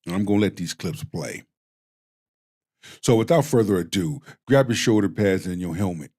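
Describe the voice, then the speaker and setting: A man's voice: deep voice, lively intonation, darkish tone. A man with a Jamaican accent hosting a stream, commenting bluntly on clips like a preacher. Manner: slow, preachy and blunt, with profanity. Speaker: host.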